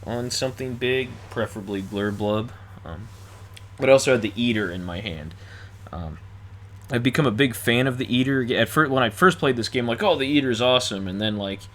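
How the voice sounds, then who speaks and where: Deep-voiced man chatting about a game. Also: a little fast. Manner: relaxed, chatty, with light laughs and fillers. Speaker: board game show co-host. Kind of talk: casual game commentary.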